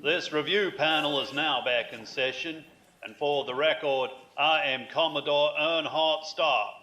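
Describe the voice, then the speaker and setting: Stern, bright-voiced commodore, roomy sound. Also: male voice, a little high. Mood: stern, ceremonious. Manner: formal, deliberate, authoritative. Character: stern, ceremonious male commodore presiding over a military tribunal. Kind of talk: science fiction audio drama acting. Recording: roomy, slightly dull sound.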